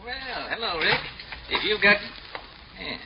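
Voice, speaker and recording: Ribbing radio cop lieutenant, creaky and lively, noisy vintage recording. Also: male voice, high-pitched, a little slow, dull audio, exaggerated voice. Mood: teasing. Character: familiar, ribbing male police lieutenant, detective's old friend. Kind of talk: 1940s-50s radio drama acting.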